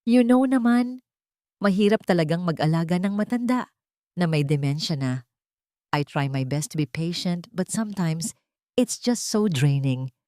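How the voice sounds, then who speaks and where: A woman's voice: fairly low pitch, lively intonation, unhurried, warm tone, no room sound, clean studio sound. A woman voicing a cruel daughter-in-law in an audio drama who feigns patience, sounding put-upon and mixing English with Tagalog. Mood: weary, feigned.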